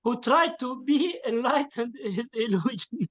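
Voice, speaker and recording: Slow, halting man. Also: very high-pitched, expressive. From Syria. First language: Kurdish. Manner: halting, many fillers, laughs as he talks. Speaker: participant. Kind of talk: casual sharing on a video call. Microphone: video call. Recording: lo-fi video call audio.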